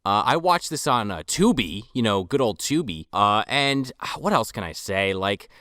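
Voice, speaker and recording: A man's voice: on the low side, big pitch swings, moderate pace, bright, forceful tone, no echo, clean studio sound. A man hosting a podcast, rambling through a casual movie review with profane jokes. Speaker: podcast host.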